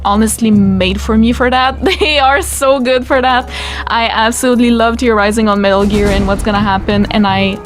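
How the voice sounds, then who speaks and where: A woman's voice: on the high side, moderate pace. Upbeat French Canadian woman, game music. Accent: Quebec French. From Quebec, Canada. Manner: chatty, upbeat, excited. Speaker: gaming YouTuber. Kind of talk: pre-recorded let's-play commentary. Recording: game music playing behind.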